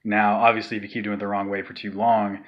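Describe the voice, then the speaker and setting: A man's voice: lowish voice, little pitch movement, quick pace, muffled recording. A man explaining a vocal technique in a loose, rambling way, with lots of fillers and sighed demonstrations. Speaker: vocal tutorial maker. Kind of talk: casual spoken tutorial.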